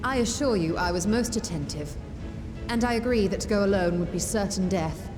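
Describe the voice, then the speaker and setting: An actress plays a learned, adventurous woman from a fantasy game. She explains things with measured, articulate confidence and formal, archaic phrasing. Her mid-pitched voice sits over prominent background music. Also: lightly acted. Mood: confident, wry. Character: scholarly adventurous woman explaining arcane theory, composed and confident. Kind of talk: fantasy video game voice acting.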